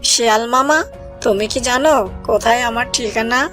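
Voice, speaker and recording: A woman plays a young orphaned duckling in a children's cartoon. The voice is fairly high, earnest and plaintive, with soft music underneath. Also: moderate pace, natural voice. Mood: polite, wary. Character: young orphaned duckling, lost, polite, clever. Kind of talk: children's moral-story cartoon dialogue.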